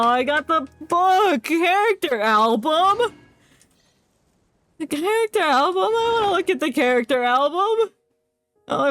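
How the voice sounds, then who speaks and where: A woman's voice: sits high, a bit bright, no room sound. A woman streamer giving casual live game commentary in wry, offhand asides on a close mic.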